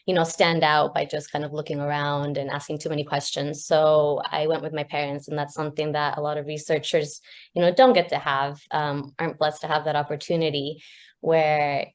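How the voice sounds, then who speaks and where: A woman's voice: sits low, level tone, a little fast. A woman who is a graduate student researcher, talking casually on a video call with plenty of fillers and easy laughs. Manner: chatty, laughs often, many fillers. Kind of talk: casual panel chat.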